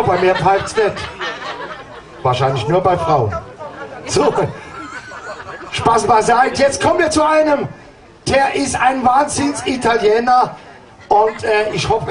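Joking male announcer at an outdoor party. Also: sits high. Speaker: host. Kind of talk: stage announcing. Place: outdoor summer party stage. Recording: distant camera, crowd and music.

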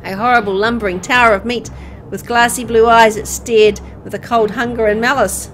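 A woman reading a horror visual novel aloud in an expressive, dramatic storytelling way. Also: a little high, moderate pace, poor audio. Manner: expressive, acting out dialogue. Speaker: gameplay video narrator. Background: music.

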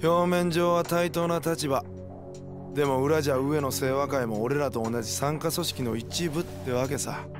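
Actor as gruff, short-tempered young yakuza man. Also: fairly high pitch, normal speed, music in the background, natural voice. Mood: gruff explaining. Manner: gruff, rough street slang, scolding. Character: short-tempered young male yakuza, bossy toward juniors. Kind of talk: video game cutscene acting.

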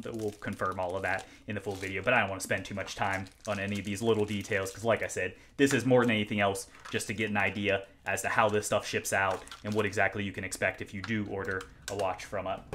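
A man with a lowish voice talks casually through an unboxing at home. He is chatty and self-deprecating, often pausing with ums and restarting his sentences. A faint hiss sits under the recording. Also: flat delivery, a bit bright. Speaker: watch reviewer. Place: home room, indoors.

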